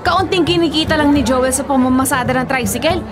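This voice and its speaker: An actress playing a domineering, jealous older ex-wife in a Filipino radio drama, scolding and accusing with scorn. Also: female voice, sits high, a little fast, a crowd in the background, natural voice. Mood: angry, scornful. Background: music.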